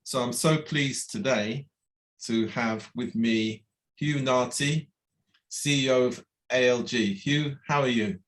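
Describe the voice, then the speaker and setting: A man's voice: mid-range voice, takes it slowly, rough recording. A man hosting a casual interview over a video call, friendly, with many fillers and brief acknowledgements. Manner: friendly, lots of fillers and backchannel. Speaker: interview host.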